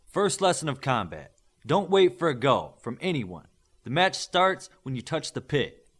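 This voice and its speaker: A man's voice: fairly high pitch, very animated, measured pace, voice pushed up, exaggerated voice. Stern male coach, played by a male narrator, raised voice, clipped orders, audiobook adventure. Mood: shouting orders. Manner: loud, clipped, commanding. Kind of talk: audiobook character voice.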